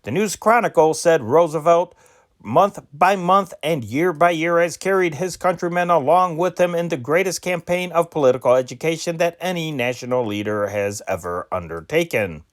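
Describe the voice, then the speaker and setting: A man with a somewhat high voice reads old newspaper dispatches aloud. His pace is measured, and he now and then trips on a word and corrects himself. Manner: measured reading with self-corrected slips. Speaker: newspaper reader.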